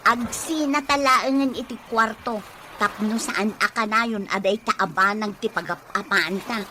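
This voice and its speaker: A woman's voice: fairly high pitch, unhurried, pushed and bright, faint music behind. An actress with a Filipino Ilocano accent playing a fed-up, nagging wife and mother in a radio drama. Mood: angry, fed up. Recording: noisy radio drama recording.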